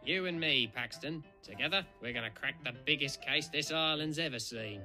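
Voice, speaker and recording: A man's voice: on the high side, moderate pace, over music, close to an everyday voice. A man voicing a vain, boastful red steam engine playing detective in a fan cartoon dub, pompous and huffy. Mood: self-assured. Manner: pompous, huffy, self-assured. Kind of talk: fan comic dub voice acting.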